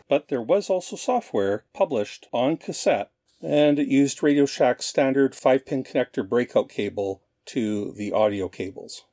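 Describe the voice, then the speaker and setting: A middle-aged man giving an informal show-and-tell narration as a host, explaining things in a measured way. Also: medium pitch, a little mellow, no room sound, studio quality. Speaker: host of a retro computing show.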